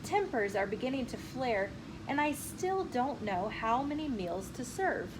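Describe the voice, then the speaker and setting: A harried storybook noblewoman read by a woman, practical but fretful. The voice sits fairly high. Clear ambient noise surrounds it. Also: expressive, a little slow. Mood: worried. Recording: clearly noisy room with ambient sound.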